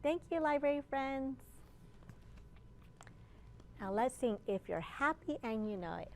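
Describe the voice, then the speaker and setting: A woman children's librarian reading aloud to young children in an encouraging storytime manner, pausing to ask simple questions. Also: high voice, lively intonation. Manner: slow, encouraging, asks listeners simple questions.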